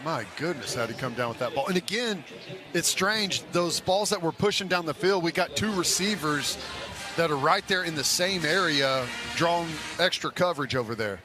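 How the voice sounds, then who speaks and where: A man's voice: on the high side, expressive, moderate pace, over music. A man giving conversational football analysis on a radio broadcast, explaining plays in short, loose phrases. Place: stadium broadcast booth.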